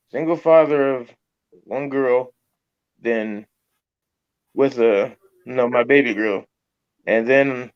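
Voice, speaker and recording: A man in easy, unhurried conversation, halting and soft, often breaking into a laugh. His voice comes through dry and a bit muffled, as over a dull online call. He sits at a middle pitch and takes his time. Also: no echo. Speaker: guest on a live stream. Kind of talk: casual chat.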